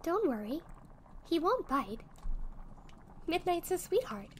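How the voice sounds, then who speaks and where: A woman voicing an encouraging young witch friend in a soft, patient ASMR roleplay, with fizzing, bubbling effects. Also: very high-pitched, sing-song, slow, a little hiss or hum, exaggerated voice. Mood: helpful, friendly. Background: fizzing, bubbling sound effects.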